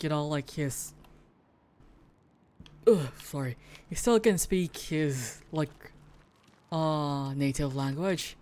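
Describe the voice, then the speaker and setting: Filipina streamer, tagalog native, deep-ish smooth voice, lots of likes and ums. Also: female voice, a little mellow. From Philippines. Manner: hesitant, full of fillers and restarts. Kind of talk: casual chat while playing a game.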